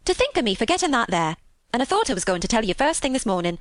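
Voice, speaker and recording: Woman as a fast, chatty housemaid. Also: on the high side, sing-song, no room sound, studio quality, pitched above the performer's own voice. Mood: excited, warm. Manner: homely dialect, brisk and chatty. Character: chatty, good-hearted young country housemaid.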